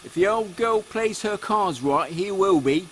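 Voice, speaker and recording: A blunt working-class British engineer in a steampunk audio comedy. He sounds low, gruff and sardonic, mocking in a deadpan way, over faint background noise. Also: measured pace. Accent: British English.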